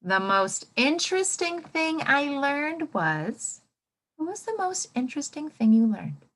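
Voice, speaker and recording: Animated, bright-voiced woman teaching young children. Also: high voice. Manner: encouraging, prompting with questions and pausing for answers; exaggerated, child-directed expressiveness. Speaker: elementary school teacher. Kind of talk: interactive read-aloud lesson for young children.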